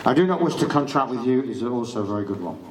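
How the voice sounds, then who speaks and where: A man's voice: medium pitch, expressive, fast talker, distant mic, a loud crowd. A middle-aged man giving an emphatic, informal talk and acting out quoted exchanges. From England. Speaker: speaker giving legal talk. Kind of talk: informal lecture. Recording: echoey room, speaker away from mic.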